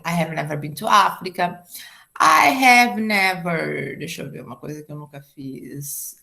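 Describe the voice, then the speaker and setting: Bright, gravelly Brazilian woman teaching. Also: medium pitch. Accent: Brazilian Portuguese. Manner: chatty, explains with asides and "tá?". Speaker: English teacher. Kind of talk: online class lesson.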